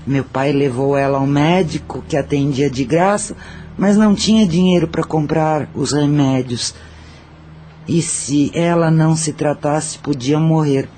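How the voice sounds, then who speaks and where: A man's voice: a little high, a little slow, dark tone, slight background noise, natural voice. A man playing a murdered brothel madam who unburdens herself reflectively in an audio drama. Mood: burdened, reflective. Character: murdered brothel madam with intuitions, burdened, confessional. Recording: music under the voice.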